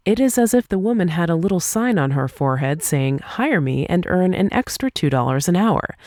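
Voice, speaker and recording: A woman reads a scripted essay as an audiobook narrator, in a measured, clearly articulated way. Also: low voice, mellow, no echo, clean studio sound. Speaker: audiobook reader.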